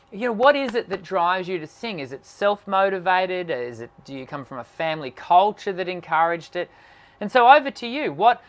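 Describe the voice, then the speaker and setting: A man, a singing teacher hosting a casual live stream, musing aloud and reading out viewer questions. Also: a bit bright. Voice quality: naturally high voice. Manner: musing, reads viewer comments aloud, many fillers and restarts.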